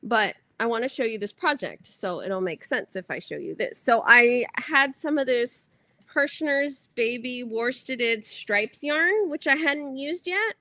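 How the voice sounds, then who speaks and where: A woman's voice: fairly high pitch, animated, a little slow, bright, forceful tone, dry recording. A woman hosting a crochet livestream, chatting casually and rambling as she corrects herself. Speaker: crochet channel host.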